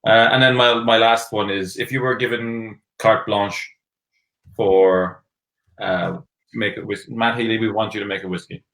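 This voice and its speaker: A man's voice: fairly low pitch, fairly even intonation, unhurried, bright, forceful tone. A man chatting as a guest on a live stream, asking questions in a dry, laid-back way over a video call. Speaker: guest, whiskey brand ambassador. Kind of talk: casual live-stream chat. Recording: echoey room on a call.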